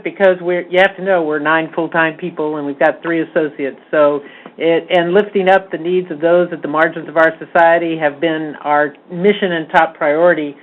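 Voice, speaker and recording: A woman leading an advocacy organization, speaking informally and emphatically on a conference call in an earnest, rallying way. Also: fairly low pitch. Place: conference call from a meeting table. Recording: tinny phone-line conference call.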